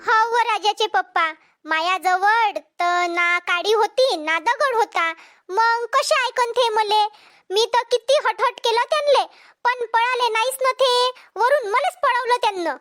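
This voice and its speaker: Super high squeaky village farm wife, vidarbha accent, flustered n naggy, cartoon comedy. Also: female voice, a little slow, fairly bright tone. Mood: complaining. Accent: Vidarbha Marathi. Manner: dramatic, complaining, repeats herself.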